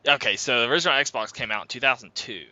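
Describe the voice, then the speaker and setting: A man's voice: medium pitch, fast talker, pushed and bright, no echo. A man in his twenties chatting loosely as a podcast host, rambling and full of fillers. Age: 20s. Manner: loose, rambling, says "like" a lot. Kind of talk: casual chat. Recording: dull lo-fi podcast mic.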